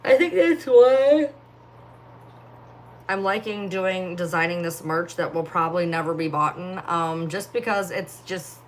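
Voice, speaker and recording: A woman's voice: fairly low pitch, measured pace, a little hiss or hum. A young woman chatting casually on a phone livestream, sleepy and yawning, with a distinctive voice and lots of filler words. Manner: rambling, heavy filler words. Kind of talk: casual live-stream chat reading comments.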